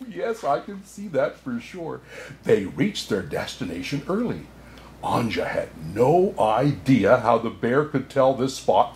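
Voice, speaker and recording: Kindly old Santa played by a man for storybook reading; slow pace, very animated pitch. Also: a little hiss or hum. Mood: jolly, delighted. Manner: unhurried, chuckling asides, expressive storytelling.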